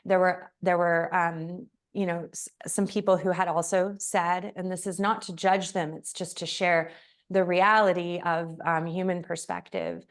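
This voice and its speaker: A woman hosting an interview, sharing a personal story in a gentle, halting way with frequent pauses. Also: on the low side, flat delivery. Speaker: podcast host.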